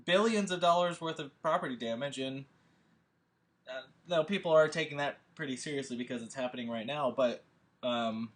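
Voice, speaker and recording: A man speaking informally to an audience as a host and writer, in a rambling, animated and emphatic way. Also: mid-range voice, normal speed. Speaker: host and scriptwriter introducing a table read.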